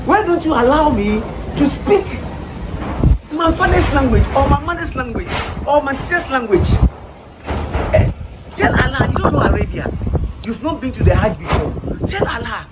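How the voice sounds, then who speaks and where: A Ghanaian woman preaches in a high voice, pressing her listeners with question after question and a teasing laugh. The recording carries clear background noise behind her. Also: expressive, moderate pace. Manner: rhetorical, repeated questions with laughs. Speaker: street preacher. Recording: noisy ambient background.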